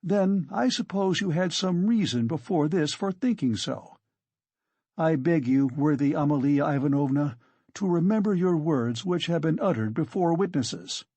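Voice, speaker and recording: Narrator putting on a pompous, insinuating gentleman. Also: mid-range voice, no room sound, voice pushed up, natural voice. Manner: formal, measured, reproachful. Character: pompous, insinuating, self-righteous gentleman accuser.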